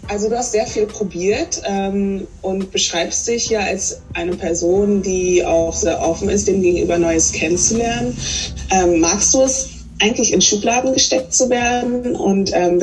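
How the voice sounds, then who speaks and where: A woman's voice: mid-range voice, steady tone, normal speed, darkish tone, soft background music, poor audio. A woman hosting a podcast, putting questions to a guest in a casual way. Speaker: podcast host, interviewer.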